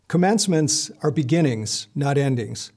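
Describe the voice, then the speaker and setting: A middle-aged man delivering a formal, scripted commencement address from a lectern in a measured, solemn way. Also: fairly high pitch, animated, darkish tone. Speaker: university leader giving commencement address. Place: indoor stage with curtain backdrop.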